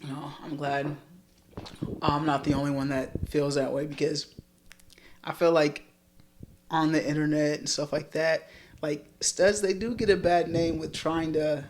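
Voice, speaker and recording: An American woman with a deep voice, talking in a casual, reflective and informal way. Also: level tone, measured pace, darkish tone, a little hiss or hum. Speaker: podcast conversation partner.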